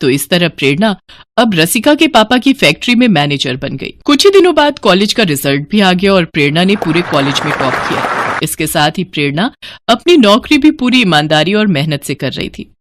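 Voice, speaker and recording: A woman's voice: fairly low pitch, big pitch swings, normal speed, background noise. A woman narrating a scripted moral story as a storyteller.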